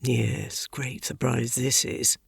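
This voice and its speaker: An actress playing a fussy older wife in an audio drama. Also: female voice, extremely low pitch, little pitch movement, takes it slowly, vocal fry, breathy edge, dry recording, studio quality, heavily acted. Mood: fretful. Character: fussy older wife organising her husband's party. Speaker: actor. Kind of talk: scripted audio drama dialogue. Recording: noisy, clattering dishes.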